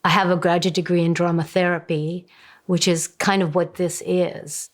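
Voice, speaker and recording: A woman in her seventies introduces herself thoughtfully. Her voice is fairly low and stays very level, with little rise or fall. She speaks in a documentary interview. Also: level tone, moderate pace. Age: 70s. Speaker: actor and drama therapist.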